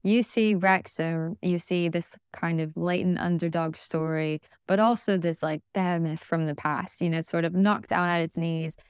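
A woman's voice: lowish voice, fairly even intonation, moderate pace, few pauses, clean tone, dry recording, clean studio sound. A young American woman, a podcast guest, talking enthusiastically in a casual interview, with lots of fillers and restarts. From United States.

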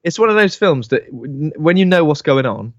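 Joking British co-host, dull dry sound. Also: male voice, fairly high pitch, very animated, moderate pace, dry recording. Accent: British English. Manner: joking, laughs often, repeats words while thinking. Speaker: podcast co-host. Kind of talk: casual chat.

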